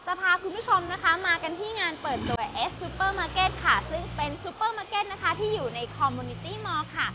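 A woman's voice: very high voice, lively intonation, moderate pace, few pauses, muffled recording, noisy background. A young woman hosting a television show, presenting in a polite, chirpy scripted style. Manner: polite, chirpy, frequent soft polite particles. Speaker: TV show host. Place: inside a busy supermarket.

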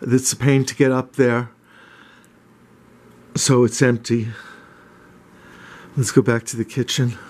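Flat-voiced man touring his cottage. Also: medium pitch, slow, pauses often. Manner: hesitant, many "uh" fillers and restarts. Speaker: homeowner giving a house tour. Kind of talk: casual walking house tour. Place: small wood-paneled cottage indoors.

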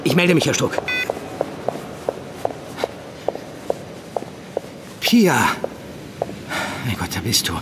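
An actor playing a police handler in a naturalistic audio drama, reassuring yet insistent, often dropping into hushed tones. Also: male voice, medium pitch, very animated, normal speed, close to an everyday voice. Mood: urgent. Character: male police handler calming and pressuring his undercover agent. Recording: noisy outdoor ambience with vehicle sounds.

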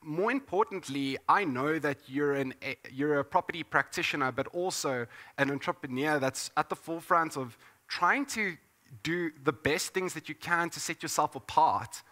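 Male host, 30s, slightly high, bright voice, upbeat and filler-heavy. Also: normal speed. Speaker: show host. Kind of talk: hosted panel chat.

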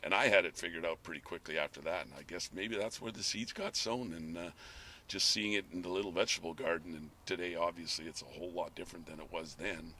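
A man's voice: sits low, fast, bright, forceful tone. A middle-aged man from Canada, a nursery company president, answering interview questions casually and rambling with many restarts. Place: outdoors on a farm, then inside a greenhouse. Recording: noisy outdoor recording.

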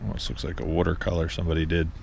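Slow gravelly low-voiced man muttering. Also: flat delivery. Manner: muttering, thinking aloud. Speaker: flea market reseller. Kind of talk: casual narration while sorting. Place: cluttered storage room. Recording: noisy handheld camera audio.

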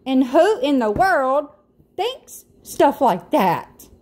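A woman's voice: high voice, very animated, slow pace, a little mellow. A woman with a Southern US accent giving casual, emphatic and incredulous opinion commentary to her viewers. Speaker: YouTube commentator.

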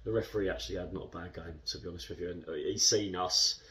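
A man in his thirties, a football club captain, talking through a match in a post-match interview, chatty and measured with many fillers. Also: sits low, fairly even intonation, bright, forceful tone, distant mic. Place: indoor club room.

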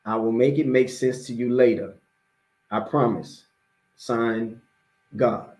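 A man's voice: mid-range voice, fairly even intonation, slow pace, a little mellow. An American man in his forties, an imam, gives a motivational religious talk in a conversational, emphatic way, repeating phrases for emphasis. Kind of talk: motivational religious lecture to camera. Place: small indoor room at home or office. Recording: roomy, echoey webcam audio.

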